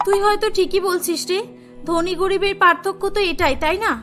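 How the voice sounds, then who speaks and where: A woman voicing a humble, gentle young sister in a cartoon story, sweet and earnest, with music behind. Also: very high-pitched, fairly even intonation, a little fast. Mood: meek.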